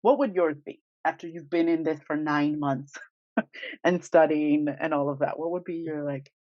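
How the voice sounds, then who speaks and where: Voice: medium pitch, normal speed, no room sound. Muffled, dry podcast co-host speaking emphatically. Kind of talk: casual podcast discussion. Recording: dull, lo-fi dry recording.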